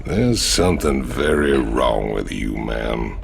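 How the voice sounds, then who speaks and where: An actor playing a brash, crude male mercenary who teases his friend in a slow, gravelly drawl. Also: rumbling low, lively intonation, soft background music, full character voice. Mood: teasing. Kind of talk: video game cutscene acting.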